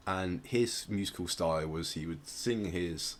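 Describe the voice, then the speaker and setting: A man hosting a podcast, talking casually and presenting in a halting, hesitant way. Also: low-pitched. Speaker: podcast host. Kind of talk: casual podcast presenting with some read-out passages. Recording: noticeable background noise.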